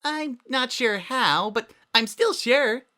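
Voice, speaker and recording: A hyperactive, cheerful young girl from a cartoon, acted out by a narrator. The voice is bright and pitched far up, with a chirpy, eager bounce. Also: lively intonation, takes it slowly. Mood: bubbly, unapologetic.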